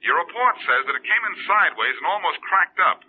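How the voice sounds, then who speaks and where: An actor playing a genial, easygoing detective asking questions in a 1940s radio crime drama. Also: male voice, high voice, expressive, fairly quick. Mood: wry, inquisitive. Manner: wry, easygoing. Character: genial quizzing amateur detective hero. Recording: noisy tinny old radio recording.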